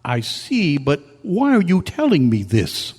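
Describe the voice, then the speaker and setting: A man playing a stiff, courteous military colonel with a husky voice and old-fashioned formal manner, in radio-drama style. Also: on the high side, huge pitch swings, measured pace, darkish tone, heavily acted. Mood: puzzled, courteous. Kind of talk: old-time radio style stage acting. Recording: echoey hall at a club meeting.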